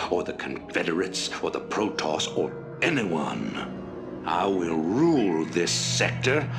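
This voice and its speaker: A deep-voiced, imperious rebel warlord. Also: male voice, full character voice. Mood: menacing, defiant. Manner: grandiose, measured, oratorical. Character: imperious, ruthless middle-aged male rebel leader turned would-be emperor. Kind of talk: sci-fi game cutscene acting. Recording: loud orchestral music behind.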